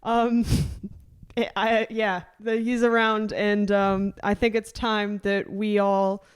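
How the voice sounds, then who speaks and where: A woman's voice: medium pitch, little pitch movement, clean tone. A young American woman co-hosting a comedy podcast, joking and laughing as she argues a playful debate. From United States. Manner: joking, quick, laughs often.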